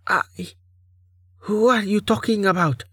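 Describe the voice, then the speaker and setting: A man voicing a frightened, wounded young woman mage in an audiobook, with a strained, pleading, halting small voice. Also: high voice, fairly bright tone, no echo, clean studio sound, pitched above the performer's own voice, exaggerated voice. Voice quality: croaky, strained. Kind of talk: audiobook character acting.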